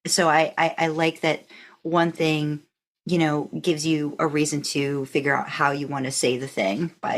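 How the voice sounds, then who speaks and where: A woman with a slightly low-pitched voice hosts a relaxed, conversational interview. She thinks out loud, halting and rephrasing as she goes, and affirms her guest in an encouraging way. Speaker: podcast host interviewing a guest.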